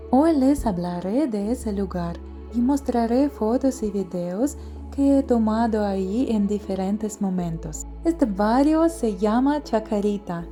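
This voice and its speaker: A woman narrating her travel vlog as a scripted voice-over, reading slowly and carefully from a memorized translated script. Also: mid-range voice, warm tone. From outside Paraguay. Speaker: travel vlogger. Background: faint background music.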